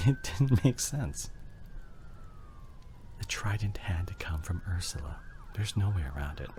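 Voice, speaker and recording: A man playing an injured, fading husband and father in an emotional ASMR audio drama, tender and intimate, with a breathy voice that often drops toward a whisper. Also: sits low, very animated, measured pace, darkish tone. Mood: weak, wistful. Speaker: audio roleplay voice actor.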